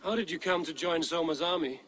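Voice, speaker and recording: Stoic male Viking raider played by an actor for a game: serious, terse, pitched a little high, faint noise behind. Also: brisk, a bit bright, natural voice. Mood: probing. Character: stoic, inquisitive Viking warrior leader. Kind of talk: video game character acting.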